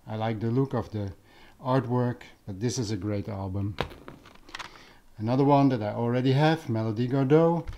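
A man's voice: medium pitch, mellow. A man from the Netherlands with a Dutch accent, chatting casually and unscripted for a vlog, searching for words as he goes. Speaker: vlogger, audio enthusiast. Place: living room at home.

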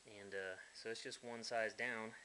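Guy in his garage, lowish bright voice, blunt and folksy, some cussing, faint hiss. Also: fairly even intonation, unhurried, poor audio. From United States. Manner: blunt, folksy, mildly profane. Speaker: hobbyist builder. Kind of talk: casual workshop walkthrough. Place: dim garage workshop.

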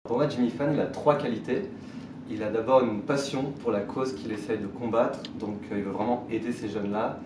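A man in his thirties, a mentor to social entrepreneurs, speaking in an interview with fillers and restarts, often trailing off softly. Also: mid-range voice, steady tone, brisk. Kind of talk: conversational interview. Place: small bright indoor room. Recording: echoey room, distant mic, noise.

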